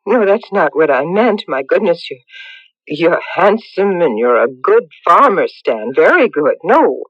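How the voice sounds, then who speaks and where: Farm mother for radio play: middle-aged, kindly, fussy, very lively intonation, dry studio sound. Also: on the low side, a little slow, slightly rough, no room sound, full character voice. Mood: flustered surprise. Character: kindly, proper farm mother, flustered and chatty. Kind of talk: radio drama acting. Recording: dull, dry old radio recording.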